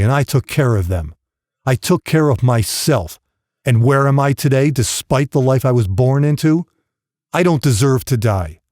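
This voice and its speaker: A man's voice: mid-range voice, a little mellow, dry recording, studio quality, lightly acted. A man voicing a resentful, vengeful man of nearly sixty in an audiobook monologue, defiant and self-justifying.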